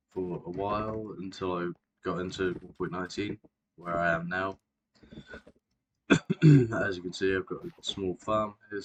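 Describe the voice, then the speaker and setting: A man's voice: low voice, fairly bright tone, a little hiss or hum, low-quality recording. A man, narrating a game tour casually, rambling and often correcting himself. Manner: rambling, self-correcting, many fillers. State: sore throat, clearing throat and coughing. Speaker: Minecraft video creator.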